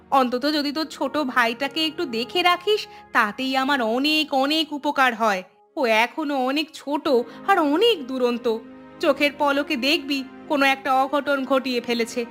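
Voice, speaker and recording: A woman's voice: high voice, fairly bright tone. A woman voicing a nagging, exasperated village mother in a cartoon, scolding and complaining. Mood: weary, reproachful. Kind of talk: cartoon voice acting.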